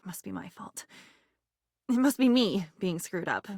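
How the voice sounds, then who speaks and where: A woman's voice: medium pitch, wildly swooping pitch, unhurried, darkish tone, dry recording, clean studio sound, heavily acted. A woman playing an anxious, insecure living diary in a video game, morbid and erratic, with fragmented, theatrical delivery that veers between muttering and outbursts. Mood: self-loathing, lonely.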